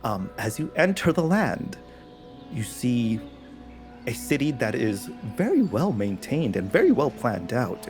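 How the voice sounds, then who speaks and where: Slow-talking man narrating as game master. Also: lowish voice. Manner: slow, halting, with many fillers. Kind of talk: descriptive tabletop narration. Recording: music bed under the voice.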